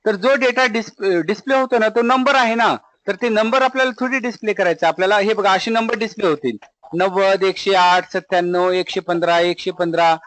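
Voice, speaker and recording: High-pitched Indian man teaching programming. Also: a little fast, fairly bright tone, dry recording, low-quality recording. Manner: explanatory, checks back with questions, repeats himself. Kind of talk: live classroom lesson with screen demonstration.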